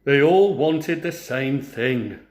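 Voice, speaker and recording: A man voicing a wise storytelling giant, measured and instructive, firmly admonishing and explaining. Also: mid-range voice, lively intonation, a little mellow. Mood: patient, explaining. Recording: roomy, echoing sound.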